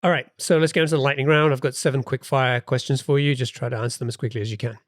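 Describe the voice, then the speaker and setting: Brisk podcast host, dry sound. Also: male voice, medium pitch, fairly even intonation, no echo. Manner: friendly, brisk question reader. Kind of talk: podcast interview hosting.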